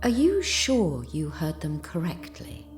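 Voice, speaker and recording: An actress playing a concerned, gentle questioner in a solemn audio drama, probing carefully. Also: female voice, low-pitched, big pitch swings, takes it slowly, faint music behind, full character voice.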